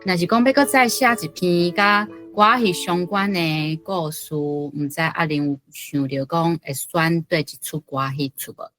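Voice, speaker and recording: Female reading-group guest, slightly low voice, informal discussion, dry sound over faint music. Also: normal speed, few pauses, fairly bright tone, dry recording.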